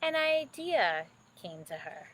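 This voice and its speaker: A woman narrating a children's bedtime story expressively, with dramatic, drawn-out emphasis. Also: high-pitched, big pitch swings, a bit bright, slight background noise, poor audio.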